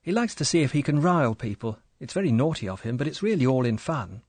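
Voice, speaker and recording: A man voicing a young, pretty English wife who talks in a soft, chatty, apologetic way. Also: mid-range voice, big pitch swings, fast, no room sound, voice pushed up. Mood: eager.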